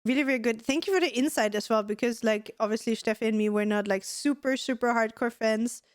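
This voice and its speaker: Hoarse chatty woman podcast host. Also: on the high side, a little fast, dry recording, studio quality. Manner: chatty, clears her throat and coughs often. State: hoarse, losing her voice. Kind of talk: casual podcast chat.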